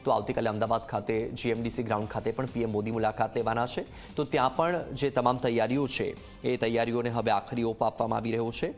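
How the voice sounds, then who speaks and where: A man's voice: mid-range voice, fairly even intonation, fast talker. Male news anchor over music. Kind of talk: live news bulletin presentation. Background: music bed under the voice.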